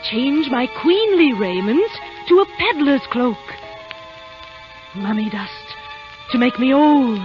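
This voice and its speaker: A woman's voice: high voice, exaggerated voice. An actress playing a wicked, vain queen who becomes an old hag witch, speaking in theatrical, menacing incantations in old radio drama style. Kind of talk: 1930s-40s radio acting. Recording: muffled old radio recording with music.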